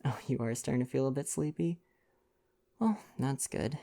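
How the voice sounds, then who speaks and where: A man reading a novel aloud in a gentle, intimate, expressive ASMR bedtime style. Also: medium pitch, normal speed, creaky.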